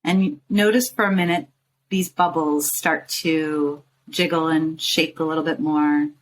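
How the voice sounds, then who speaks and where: A woman with a low-pitched voice leads a guided meditation. She speaks soothingly, coaxing the listener along in short phrases with pauses between them. Some background ambient noise sits behind her voice. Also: steady tone, rough recording. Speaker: meditation guide and show host.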